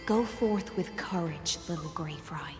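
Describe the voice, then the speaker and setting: An actress playing a regal, affectionate warrior woman in a video game, with a measured, stately and fond way of speaking. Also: on the low side, natural voice. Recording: echoey long reverb, music behind. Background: faint game music.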